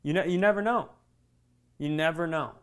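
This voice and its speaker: A man co-hosting a comedy podcast, telling anecdotes casually with animated, self-deprecating delivery and some swearing. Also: on the high side, normal speed, clear, resonant tone. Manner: animated storytelling with profanity.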